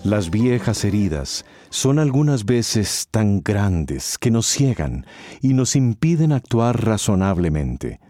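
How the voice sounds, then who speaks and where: A man's voice: lowish voice, very animated, slow, dark tone, faint music behind. A man with a Central American accent, narrating a radio drama from a script.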